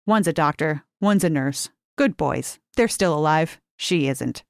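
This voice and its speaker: A woman's voice: low-pitched, expressive, a little slow, gravelly, no echo, clean studio sound, exaggerated voice. A woman voicing a stern, curt elderly retired teacher who talks in clipped, blunt sentences.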